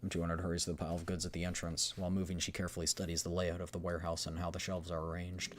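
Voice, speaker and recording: A man's voice: sits low, completely flat delivery, rapid-fire, a little hiss or hum. A man streaming a game, reading the story aloud and chatting casually as he thinks aloud. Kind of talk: game readthrough with casual commentary.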